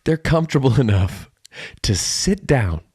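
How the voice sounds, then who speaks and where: A man's voice: medium pitch, very animated, measured pace, darkish tone, husky, dry recording, studio quality. A man co-hosting a podcast in casual comedic banter, telling jokey stories with emphatic repetition. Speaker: podcast co-host.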